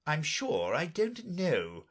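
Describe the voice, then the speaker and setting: A man's voice: on the high side, huge pitch swings, slow pace, voice pushed up, exaggerated voice. A man voicing a tired, grumpy great lion from a storybook, with a weary, growling drawl. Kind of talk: storybook character reading.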